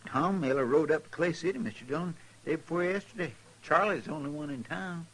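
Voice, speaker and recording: An actor playing an earnest, deferential deputy sidekick in a 1950s radio western. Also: male voice, a little high, brisk, fairly bright tone. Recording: noisy old-time radio drama recording.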